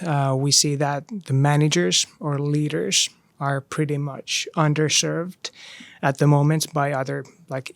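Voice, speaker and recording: Gravelly man explaining his business. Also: a little high, dry recording. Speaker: startup CEO guest. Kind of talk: podcast introduction.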